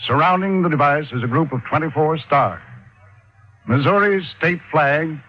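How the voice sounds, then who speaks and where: A man announcing on old-time radio, reading formal scripted copy in a stately, measured way. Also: on the high side, faint music behind. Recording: muffled vintage radio recording.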